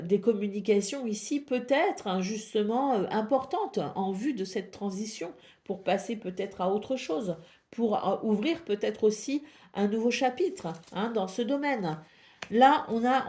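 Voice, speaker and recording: A woman's voice: medium pitch, mellow. French woman with a cold reading tarot cards. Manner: hesitant, many fillers and repeated maybe. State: has a head cold. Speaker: tarot reader. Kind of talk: weekly horoscope card reading.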